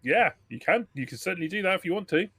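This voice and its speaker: Halting male game master, close dry sound. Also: high-pitched, lively intonation, pushed and bright, slightly rough, dry recording. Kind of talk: improvised narration of a tabletop roleplaying game.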